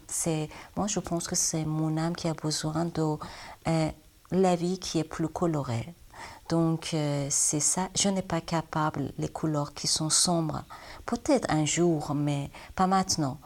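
Woman, iranian accent, low voice, slow careful speech, searching for words. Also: steady tone, a little mellow. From Iran. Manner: halting non-native French, searching for words. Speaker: watercolor painter. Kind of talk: interview answers.

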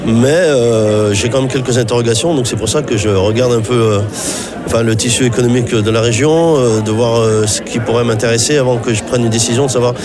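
A man in his fifties from France, answering interview questions about looking for work in a halting way, with many pauses and fillers. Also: mid-range voice, steady tone, a crowd in the background. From France, Saint-Étienne. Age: 50s. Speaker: job seeker interviewee. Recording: music playing underneath.

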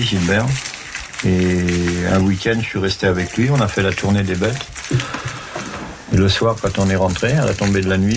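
Low-voiced older shepherd, noisy outdoors. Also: male voice. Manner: relaxed, plain-spoken, teasing. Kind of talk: casual chat outdoors while herding. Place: mountain pasture outdoors.

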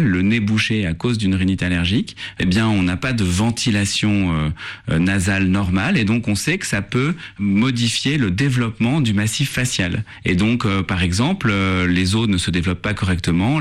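A man with a fairly low voice explains a medical topic in orderly steps. He speaks as a hospital professor on a health segment. His delivery is patient and methodical. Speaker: pediatric allergy professor. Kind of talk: expert radio explanation.

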